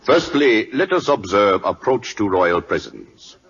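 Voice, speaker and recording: An actor playing a grave, dryly patient Siamese prime minister in a radio drama, speaking clipped, formal broken English with a Siamese accent. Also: male voice, lowish voice, very animated, unhurried, fairly bright tone, full character voice. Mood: formal, warning. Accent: put-on Siamese. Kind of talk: 1940s radio drama acting. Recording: dull lo-fi old radio recording.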